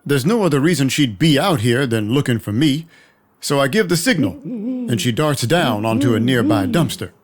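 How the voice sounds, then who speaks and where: An actor playing a world-weary but compassionate vampire detective, narrating an audio drama in a dry, conversational first person. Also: male voice, medium pitch, big pitch swings, moderate pace. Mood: weary, brooding. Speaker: narrator and lead.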